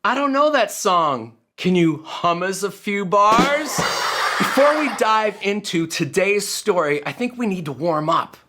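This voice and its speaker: Unhurried man, musical guest for kids. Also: sits high, lively intonation, background noise. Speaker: musician guest on a children's show. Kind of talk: casual, playful talk to children.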